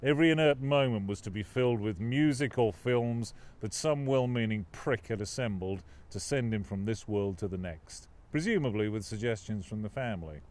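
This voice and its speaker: Male author-narrator, lower register, expressive and dramatic reading of literary fiction. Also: moderate pace, hardly any pauses. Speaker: author reading own novel. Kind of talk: scripted audiobook narration.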